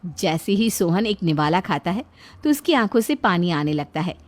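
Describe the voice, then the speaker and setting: A woman's voice: fairly low pitch, very animated, moderate pace. A woman storyteller reading a scripted moral tale as narration.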